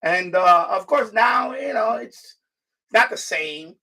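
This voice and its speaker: A middle-aged man chatting casually on a live stream, halting and rambling as he corrects himself. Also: high voice, animated, pushed and bright, distant mic. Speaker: live streamer, radio hobbyist.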